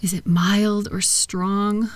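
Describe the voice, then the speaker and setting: A woman acts out a gentle female therapist in a soothing audio roleplay. Her soft-spoken voice sits at an ordinary pitch for a woman, recorded dry with little room sound. She guides her patient patiently, with kind encouragement and reassurance. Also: flat delivery, slow, no room sound, natural voice. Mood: kind, softly encouraging.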